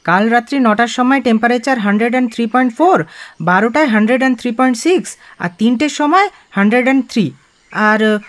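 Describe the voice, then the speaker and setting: Actress as young female carer, higher-pitched, careful, factual, dutiful, radio drama. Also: natural voice. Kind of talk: audio drama acting.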